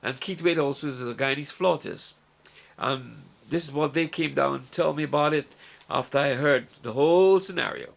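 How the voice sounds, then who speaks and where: A man's voice: fairly high pitch, moderate pace, vocal fry. A man hosting a casual interview, asking questions in a friendly, conversational way. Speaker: interviewer, host.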